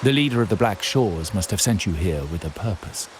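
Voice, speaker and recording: An actor playing a proud, scheming heir to a crime family in a video game, speaking in a formal, measured, pompous way. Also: male voice, sits low, expressive, noisy background. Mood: authoritative, veiled threat.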